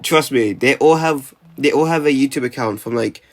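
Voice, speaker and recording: A man's voice: medium pitch, moderate pace. A young man talking casually in a vlog, rambling with lots of stammered restarts, "like" fillers and casual swearing. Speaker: YouTuber.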